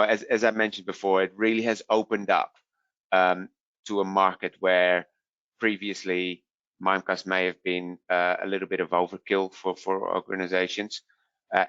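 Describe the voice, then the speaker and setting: A man's voice: low voice, a bit bright, clear, resonant tone, dry recording, muffled recording. A man from the Netherlands with a Dutch accent, answering webinar questions with many fillers and restarts. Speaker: vendor product specialist.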